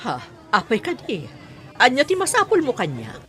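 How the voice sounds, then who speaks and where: A woman with an Ilocano accent playing a worried middle-aged mother in an audio drama, in a husky voice. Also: on the high side, wildly swooping pitch, normal speed, fairly bright tone. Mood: curious, surprised. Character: worried mother guarding her adopted child.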